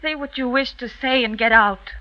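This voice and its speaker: A woman's voice: high voice, steady tone, normal speed, natural voice. Woman playing a cold, curt aristocratic wife. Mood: hostile. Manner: curt, guarded. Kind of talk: 1950s radio drama acting. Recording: dull vintage radio recording.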